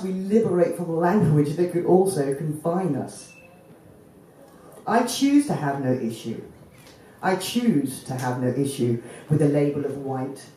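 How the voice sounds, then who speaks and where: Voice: medium pitch, dark tone. A spoken word poet in their thirties, born in Fiji and raised in Jamaica, performing poems at a stand mic in a festival tent with rhythmic, emphatic delivery. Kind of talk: performance poetry on stage. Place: festival tent stage, outdoors. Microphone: stand microphone, filmed from the audience. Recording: echoey, from far off.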